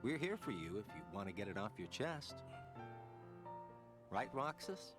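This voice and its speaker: A man's voice: lowish voice, very animated, moderate pace. Game role: easygoing wry young man, loyal buddy type, score audible behind. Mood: warm, easygoing. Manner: casual, wry. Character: wry, laid-back young man, teasing loyal friend. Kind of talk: English video game dub acting. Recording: game music playing behind.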